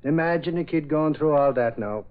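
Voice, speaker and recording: A man's voice: on the high side, normal speed, close to an everyday voice. A man playing a folksy, good-natured reporter sidekick who talks colloquially, in old radio drama style. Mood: easygoing. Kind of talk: 1940s radio acting. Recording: muffled old radio recording.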